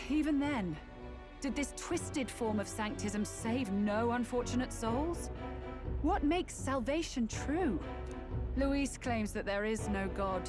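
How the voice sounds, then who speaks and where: A woman playing a serene, cold church heiress in a fantasy game, with a measured, lofty, theatrical delivery. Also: a little high, close to an everyday voice. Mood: solemn, lofty. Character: serene, cold church heiress, a self-appointed saintly villainess. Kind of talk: dramatic fantasy game acting.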